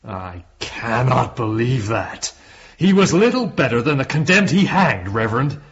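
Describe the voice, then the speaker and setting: The sound is slightly dull, like a lo-fi radio play. an inquisitive, sceptical gentleman. He speaks slowly and emphatically, with lively intonation. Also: medium pitch. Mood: scornful. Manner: deliberate, probing, emphatic. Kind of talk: period radio drama acting. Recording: dull lo-fi audio.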